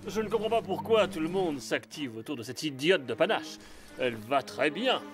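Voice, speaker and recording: Guy doing snooty dismissive cartoon animal, highish male voice, very animated. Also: normal speed, over music, natural voice. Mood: scornful. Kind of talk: French cartoon dub acting.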